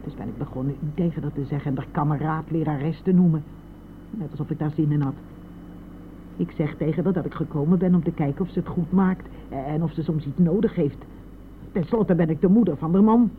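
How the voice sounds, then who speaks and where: A woman's voice: low voice, fairly quick, lightly acted. Woman playing a grumpy stubborn old mum, blunt and indignant, old radio drama, tinny sound, faint engine noise behind. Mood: aggrieved, mocking. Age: older. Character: stubborn, aggrieved old mother, disapproving of her daughter-in-law. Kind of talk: Dutch radio play acting. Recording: tinny band-limited old radio recording, vehicle noise.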